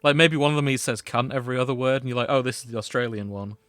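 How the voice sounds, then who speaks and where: A man's voice: mid-range voice, rapid-fire, a bit bright. A man with a British accent bantering casually as a podcast co-host, wry and joking. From Britain.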